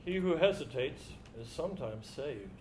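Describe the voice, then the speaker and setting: A man's voice: fairly high pitch, expressive, normal speed, a little mellow, low-quality recording. A man performing as a reader in a staged literary dramatization. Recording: live stage recording with background noise.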